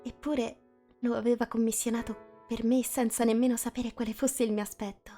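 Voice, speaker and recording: A woman's voice: on the high side, little pitch movement, moderate pace, dark tone, soft background music. A woman reading a first-person story aloud in a live group reading, in a casual setting.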